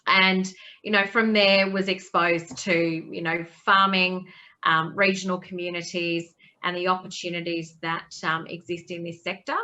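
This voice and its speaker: An Australian woman with a fairly low but bright, forceful voice talks through her career in a loose, conversational way. She doubles back on her words and fills gaps with small pauses. The audio sounds dull and lo-fi. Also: little pitch movement. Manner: conversational, frequent restarts and fillers. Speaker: guest. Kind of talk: remote interview answers.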